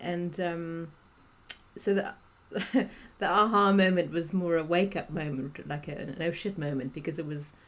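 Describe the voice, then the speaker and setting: Woman, South African accent, middle-aged, lower pitch, chatty, restarts a lot. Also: steady tone, measured pace. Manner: chatty, many restarts and fillers. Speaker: guest, executive coach. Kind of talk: podcast interview conversation.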